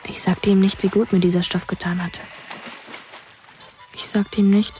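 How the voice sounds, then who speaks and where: Woman playing an even-toned, detached young boy narrator. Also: medium pitch, normal speed, soft background music, lightly acted. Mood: wistful, even. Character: detached child narrator, a boy recalling his mother. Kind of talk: literary first-person radio drama narration.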